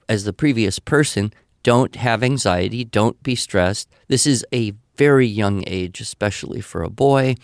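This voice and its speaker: A man's voice: fairly low pitch, creaky, no echo, studio quality. An older man with an American accent giving conversational advice in a reassuring, explanatory manner. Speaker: podcast expert answering listener questions.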